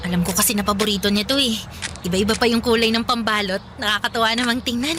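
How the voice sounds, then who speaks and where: A woman's voice: on the high side, fairly even intonation, fast, noisy background, close to an everyday voice. A woman playing a dutiful, apologetic teenage Filipino schoolgirl in a radio drama, speaking politely and hesitantly. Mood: cheerful.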